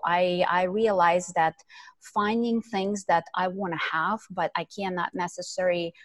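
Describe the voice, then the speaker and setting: A middle-aged woman from Ukraine tells her story as a podcast guest. Her voice sits low and the sound is close and dry. She talks eagerly, full of fillers and restarts. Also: dry recording. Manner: chatty, many fillers, eager. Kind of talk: casual interview storytelling.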